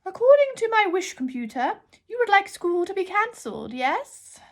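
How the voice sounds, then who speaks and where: A woman voicing a loud, haughty and eccentric older woman librarian of magic in a storybook, with a booming, brusque delivery. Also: very animated, moderate pace. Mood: impatient. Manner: brusque, haughty, slightly hard of hearing.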